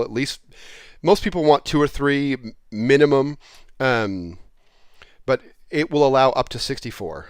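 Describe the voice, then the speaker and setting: A man presenting software licensing in an informal, conversational way, explaining as he goes and rambling into asides. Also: mid-range voice, clear, resonant tone, no room sound, studio quality. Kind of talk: informal product walkthrough.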